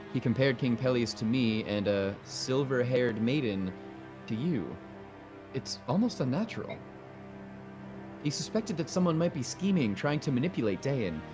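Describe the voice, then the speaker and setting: A man voicing a young queen in game dialogue, gentle yet resolute, speaking formally and earnestly. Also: mid-range voice, lightly acted. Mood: grave. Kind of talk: game dialogue read aloud in a fan dub.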